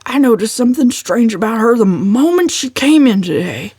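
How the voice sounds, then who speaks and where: A woman's voice: on the high side, expressive, moderate pace, a little mellow, husky, dry recording, clean studio sound, voice pushed up. A woman voicing a bossy, irritable old uncle who growls and snaps bluntly in an audiobook.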